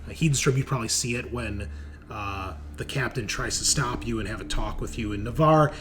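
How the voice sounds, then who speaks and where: Male game master, casual improvised narration, medium pitch, slight background noise. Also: fairly quick, a bit bright. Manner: casual, sweary, explanatory.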